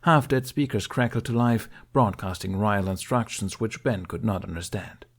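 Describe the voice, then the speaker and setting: A man's voice: on the low side, normal speed. A man reading a scripted story as an audiobook narrator.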